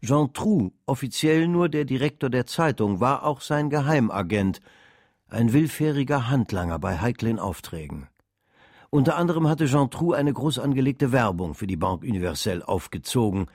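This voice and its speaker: Casting: male narrator, medium-pitched, measured and matter-of-fact, scripted radio drama storytelling. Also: expressive, darkish tone, dry recording, studio quality.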